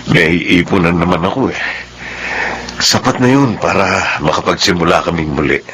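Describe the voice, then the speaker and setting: A gentle but resolute middle-aged father in a Filipino radio drama. His voice is deep and he speaks with measured sincerity over faint noise. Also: expressive.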